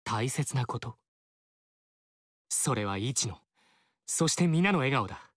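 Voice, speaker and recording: Game VO: a sincere young warlord, formal, resolute, animated pitch. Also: male voice, slow, no echo, studio quality. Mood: earnest. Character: young male warlord, earnest and righteous. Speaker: game character. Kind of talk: game dialogue acting.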